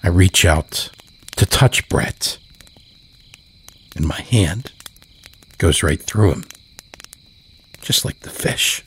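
A man narrating scripted horror fiction in a measured, deliberate way. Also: low-pitched, a bit bright, a little hiss or hum. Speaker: storyteller, narrator.

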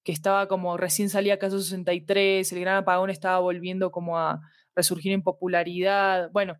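A woman's voice: on the low side, level tone, a little fast, dry recording, clean studio sound. A woman with a Latin American Spanish accent speaking as a podcast guest in a reflective, discursive way, often checking in with small tag questions. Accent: Latin American Spanish with voseo. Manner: thoughtful, discursive, seeks agreement with tag questions. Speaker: podcast guest, fiction podcast creator. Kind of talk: reflective conversational interview.

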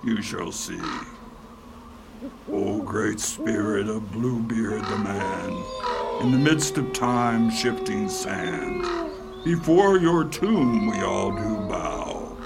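A grandiose necromancer intones spells for a radio play. His gravelly voice swoops dramatically and takes its time, over clear background music. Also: fairly low pitch, very animated, slow pace, exaggerated voice. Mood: commanding, grandiose. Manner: grandiose, incantatory, rhyming. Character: bombastic sham necromancer chanting theatrical spells.

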